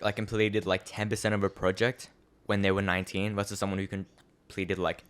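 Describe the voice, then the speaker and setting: Low, smooth-voiced young man musing. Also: flat delivery, brisk, a bit bright. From Australia. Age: young adult. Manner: rambling, filler-heavy, earnest and reflective. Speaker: podcast co-host. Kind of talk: casual chat.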